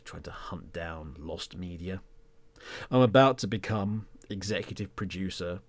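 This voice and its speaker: A man hosting a podcast, talking reflectively in a measured, conversational way, in short phrases. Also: low voice. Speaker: podcast host and presenter.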